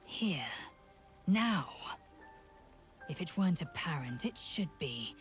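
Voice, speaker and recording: Fierce alien warrior woman from a game, low n slow, whispery intense lover, music behind. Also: sing-song. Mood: intense, commanding, then intimate, hungry. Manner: breathy whispering, formal solemn intense delivery. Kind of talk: video game voice acting.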